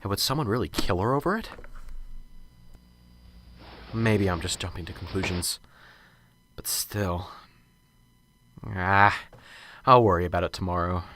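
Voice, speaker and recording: A man's voice: low voice, measured pace, pauses often, fairly bright tone, a little hiss or hum. A man playing a nervous, unsure professor. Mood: musing. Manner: hesitant, stammering, muttering to himself. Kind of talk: amateur radio drama. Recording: music behind the voice.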